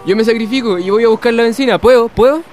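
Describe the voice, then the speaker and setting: A male actor plays an eager, excitable teenage boy in a scripted family drama. His voice is high-pitched for a male. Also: fast, soft background music. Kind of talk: scripted educational drama dialogue.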